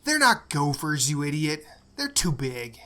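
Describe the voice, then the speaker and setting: A man's voice: on the high side, sing-song, a little slow, bright, forceful tone, soft background music, full character voice. A man playing an irritable, know-it-all Marine private in an audio drama, blunt in manner. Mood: irritated.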